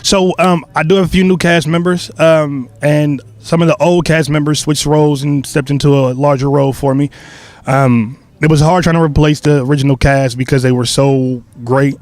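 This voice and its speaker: A young man who created a stage play, answering interview questions in a loose, relaxed way with many fillers and restarts. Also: on the high side, normal speed.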